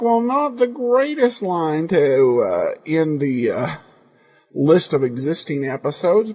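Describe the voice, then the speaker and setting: Male podcast host, creaky husky voice, hesitant off-the-cuff commentary on vintage radio. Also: on the high side, darkish tone. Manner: halting, hesitant phrasing. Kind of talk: unscripted commentary on old radio shows.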